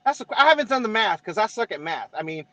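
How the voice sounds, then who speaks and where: A man's voice: sits high, big pitch swings, quick pace, pushed and bright, dry recording. A man with a Mexican-American East Los Angeles accent, co-hosting a casual podcast chat, swearing freely and checking in with "right?" as he explains. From Southern California. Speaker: podcast co-host.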